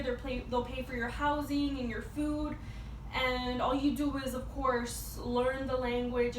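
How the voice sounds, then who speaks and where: A young woman giving a prepared persuasive speech as a student, in a halting, informal way with repeated words. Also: sits high. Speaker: student speaker. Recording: echoey, distant mic with background noise.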